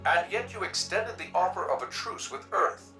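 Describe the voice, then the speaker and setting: An actor playing a polished, formal city news broadcaster, probing guests in a video game radio interview. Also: male voice, high-pitched, pushed and bright, echoey room, distant mic, faint music behind, low-quality recording. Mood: probing, courteous. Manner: formal, measured announcer phrasing.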